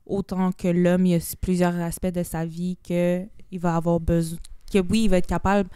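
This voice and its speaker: A woman's voice: lowish voice, flat delivery, normal speed, dark tone, clean tone, dry recording, clean studio sound. A young woman with a Québécois accent, chatting as a podcast guest, mixing in English phrases and restarting her sentences. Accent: Québécois French. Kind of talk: casual podcast conversation.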